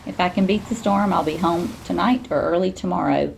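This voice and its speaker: A woman's voice: lowish voice, fairly quick, creaky, a little hiss or hum, rough recording. A woman voicing a caring, protective storybook father, earnestly giving instructions to his daughter. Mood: concerned.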